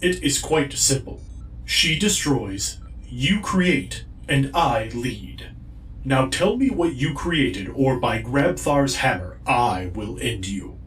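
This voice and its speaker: An actor playing a commanding, obsessed villain in an audio drama, speaking in a deliberate, threatening way. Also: male voice, mid-range voice, very animated, a bit bright. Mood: imperious, threatening. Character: commanding, obsessed villain hunting a lost loved one. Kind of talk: dramatic audio fiction acting. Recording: echoey, distant, faint noise.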